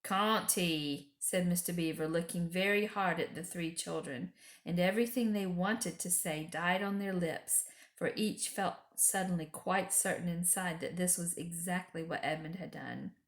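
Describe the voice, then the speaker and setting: A woman's voice: on the low side, moderate pace, echoey room. A woman reading a children's book aloud as a storyteller.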